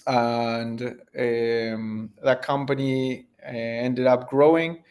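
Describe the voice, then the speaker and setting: A man's voice: medium pitch, fairly even intonation, drawn-out words, darkish tone. A man, answering interview questions as a tech founder in a thoughtful way, searching for words as he goes. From Argentina. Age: 30s.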